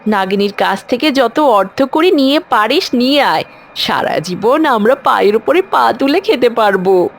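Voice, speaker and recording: Cartoon mother acted by a woman: older villager, grasping, excitable, high voice, noticeable background noise. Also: moderate pace, vocal fry. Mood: greedy urging. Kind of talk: cartoon story dialogue.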